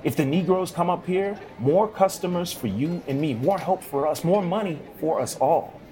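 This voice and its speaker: A man playing a friendly, steady, hopeful American barman, plain-spoken and reassuring, in an audio drama. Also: a little high, normal speed, natural voice. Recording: music behind the voice.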